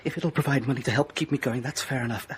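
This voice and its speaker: An actor playing an obsessive young painter from a rich Parisian family, hesitant and fervent, in a radio drama. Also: male voice, medium pitch, quick pace, slight background noise, close to an everyday voice. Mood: pleading.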